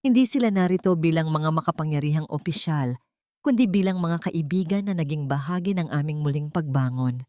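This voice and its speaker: A woman's voice: low-pitched, animated, brisk, mellow, no echo, studio quality. A woman with a Filipino accent reading a scripted dramatic story in an earnest, sentimental manner. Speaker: audio story narrator.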